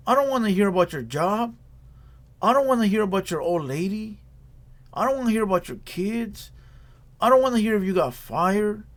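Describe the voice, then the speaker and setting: Blunt higher-voiced man giving reaction commentary. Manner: blunt, repetitive, slangy lecturing. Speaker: reaction video host.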